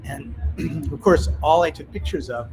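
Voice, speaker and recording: A man's voice: fairly high pitch, moderate pace, music in the background, rough recording. A man with a Canadian accent, a podcast guest telling a travel anecdote in casual talk and acting out the dialogue. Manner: lively anecdotal, acts out quoted dialogue. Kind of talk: casual storytelling. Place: at home abroad.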